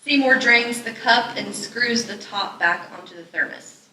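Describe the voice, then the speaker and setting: A woman's voice: fairly high pitch, unhurried, bright, forceful tone. A woman reading scripted stage directions as narrator at a live table read. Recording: echoey room, distant mic, noisy.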